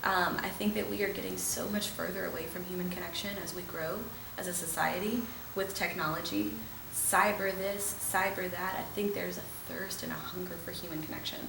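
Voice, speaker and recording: Gravelly-voiced woman talking dance, enthusiastically. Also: lowish voice, fairly even intonation, fairly quick, hardly any pauses, far from the mic, noisy background. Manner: enthusiastic, emphatic affirmations. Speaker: dance instructor guest. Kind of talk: casual podcast conversation. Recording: echoey room, voice a bit off-mic, faint ambience.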